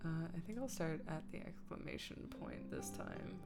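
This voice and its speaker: A woman's voice: deep voice, huge pitch swings, normal speed, gravelly. A woman chatting casually on a livestream as she works, playful and silly, with self-mocking asides and bits of muttering to herself. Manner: mutters and hums to herself while working. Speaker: streamer and host. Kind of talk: casual livestream chat while editing. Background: background guitar music.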